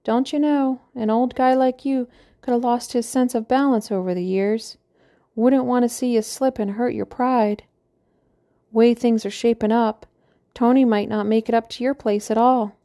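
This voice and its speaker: A woman's voice: a little high, steady tone, normal speed, dark tone, voice pushed up, close to an everyday voice. A woman voicing a matronly, teasing small-town postmaster with a Midwestern accent and a chatty, joking manner.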